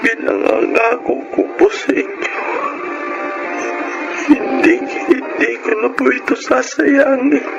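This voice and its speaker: Creaky, high-voiced repentant son. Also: male voice, fairly even intonation, highs cut off, exaggerated voice. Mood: earnest, repentant. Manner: halting, humble, earnest. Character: repentant grown son, weak and emotional. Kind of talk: Filipino radio drama acting. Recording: loud music bed over voice.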